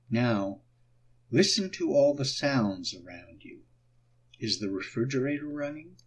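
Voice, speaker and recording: A man narrating a guided meditation, giving instructions in short, deliberate phrases. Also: mid-range voice, slightly rough.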